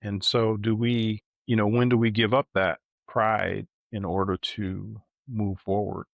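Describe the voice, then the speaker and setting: A middle-aged man co-hosting a podcast in casual, reflective conversation, thoughtful and halting, often checking in with a questioning tag. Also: lowish voice, level tone, gravelly, dry recording, lo-fi sound. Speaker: podcast co-host.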